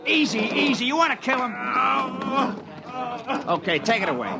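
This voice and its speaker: A man's voice: extremely high pitch, sing-song, normal speed, a loud crowd. An actor playing a harried, bossy male soldier barking orders in a 1950s radio drama. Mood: urgent, bossy. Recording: noisy outdoor vehicle din, vintage radio.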